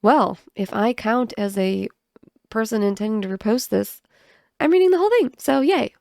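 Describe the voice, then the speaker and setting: A woman's voice: a little high, animated, moderate pace, vocal fry, darkish tone, no echo, studio quality. A woman hosting a gaming commentary video, sick and congested, with a cough drop in her mouth, chatting casually with self-mocking asides. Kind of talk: casual commentary and reading aloud.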